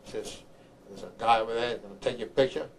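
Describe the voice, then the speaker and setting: An older man from Honduras, an army veteran, recounts his memories haltingly, repeating words as he searches for the next one. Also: mid-range voice, steady tone, poor audio. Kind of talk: oral history recollection. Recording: clear background noise, room ambience.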